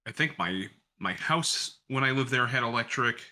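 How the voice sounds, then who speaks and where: A man's voice: mid-range voice, lively intonation, moderate pace, pushed and bright. A man who works in radio, cooking along in his home kitchen and chatting in a rambling, self-deprecating way. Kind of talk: casual chat while cooking. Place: home kitchen with gas stove.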